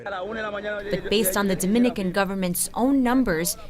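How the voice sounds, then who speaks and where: A woman's voice: mid-range voice, steady tone, measured pace. A woman reading scripted news documentary narration in the manner of a reporter.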